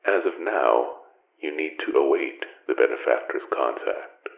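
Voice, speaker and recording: Very deep, echoing ancient mentor. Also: male voice, expressive, gravelly, full character voice. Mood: solemn, urging. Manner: formal, archaic, measured phrasing. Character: ancient solemn male magic-wielder, formal and secretive mentor. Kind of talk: audio drama acting. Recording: muffled narrow band, echoey, distant.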